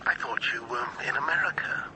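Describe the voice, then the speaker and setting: An actor playing a reluctant, confiding friend in a radio drama, in a breathy, half-whispered voice. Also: male voice, medium pitch, brisk, noisy background, lightly acted. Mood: reluctant, hushed. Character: reluctant, confiding friend breaking bad news.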